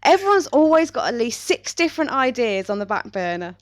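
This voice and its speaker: Female podcast host, fairly high voice, dry close sound, chatty with a wry edge. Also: big pitch swings, brisk, no room sound. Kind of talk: casual podcast chat.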